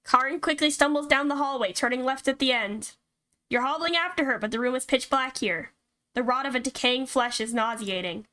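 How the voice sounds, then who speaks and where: A woman streamer reading a horror visual novel aloud and reacting to it in an expressive, casually sweary way. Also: sits high, fairly quick. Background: game music.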